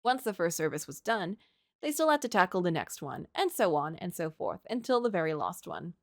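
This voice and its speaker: A woman game streamer reading a visual novel aloud in an expressive storytelling way, with casual asides. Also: on the low side, a little fast, dry recording, studio quality.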